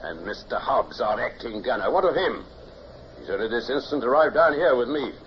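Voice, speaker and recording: An actor playing a tyrannical, suspicious ship's captain, imperious and sardonic, in period radio drama style. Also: male voice, mid-range voice, animated, a little fast, natural voice. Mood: suspicious, demanding. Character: tyrannical, suspicious naval captain, coldly menacing. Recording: dull old radio recording with hiss.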